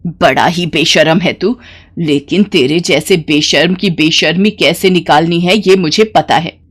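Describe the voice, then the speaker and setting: A woman's voice: on the low side, measured pace, husky. A woman voicing a fierce, protective middle-aged village mother in a storybook tale, scolding and threatening. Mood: furious scolding.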